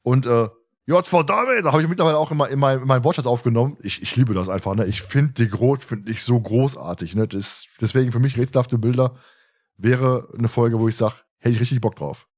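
A man hosting a casual fan podcast, chatting in an animated, joking way. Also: mid-range voice, a little fast. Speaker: podcast host.

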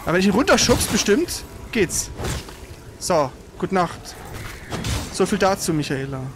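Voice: mid-range voice, sing-song, normal speed, noisy background. A player in a casual let's play, teasing and bossing a partner around with blunt, slangy exclamations. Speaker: gaming video host, co-op player.

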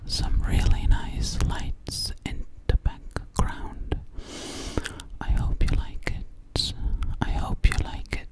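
A sweet, slightly sassy alien girl played by a young woman whispers in a soothing ASMR roleplay. She speaks extremely slowly and breathily, right up close to the microphone, lingering on words and repeating them. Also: lightly acted. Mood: soft, coaxing. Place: small dim living room, indoors. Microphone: close studio microphone with foam cover, binaural.

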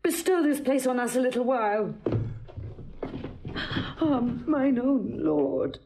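An anguished queen in a Shakespearean radio play. Her voice is extremely high, and she declaims slowly and with grief. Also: noisy background. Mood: distraught. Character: distraught queen, a grieving mother of grown son. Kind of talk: classical Shakespearean radio acting.

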